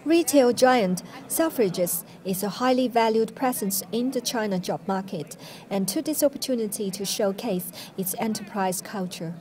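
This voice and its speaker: A woman's voice: medium pitch, moderate pace, darkish tone. A woman reporter reading a scripted news narration.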